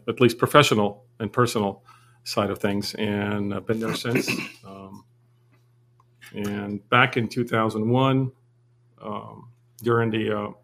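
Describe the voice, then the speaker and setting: Interview guest, male, 40s, from Lebanon; low, flat, steady storyteller. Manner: steady, measured storytelling with frequent fillers. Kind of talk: casual interview.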